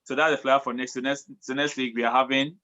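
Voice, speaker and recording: A man's voice: mid-range voice, level tone, quick pace, sharp tone, dull audio. A man hosting a casual online talk show over a video call, upbeat and laughing often. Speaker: host.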